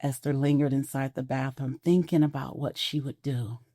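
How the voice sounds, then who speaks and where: A woman narrating an audio drama in a measured, intimate storytelling manner. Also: a bass voice, steady tone, dark tone, no echo, studio quality.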